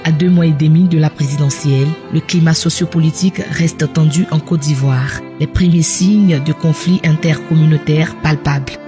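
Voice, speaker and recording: A man's voice: fairly high pitch, steady tone, normal speed, hardly any pauses, over music. A man reading scripted narration for a news documentary in a formal reporting style.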